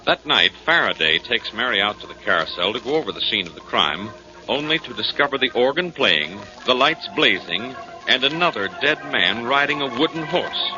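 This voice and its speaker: A man working as a radio announcer, reading scripted mystery narration in a dramatic, deliberate 1940s style. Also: medium pitch, very animated. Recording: muffled 1940s radio recording. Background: organ music.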